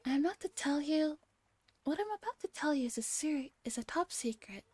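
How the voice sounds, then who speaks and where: A woman's voice: high voice, animated, brisk, darkish tone, no room sound. A woman voicing a clumsy, boastful young robot maid from a visual novel, making solemn, over-dramatic declarations. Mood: secretive.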